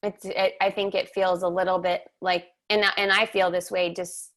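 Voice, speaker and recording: Measured woman with a flat, gravelly voice. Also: on the low side. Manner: slow, measured, reflective. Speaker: webinar host and somatic teacher. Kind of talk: reflective guided conversation. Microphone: video call.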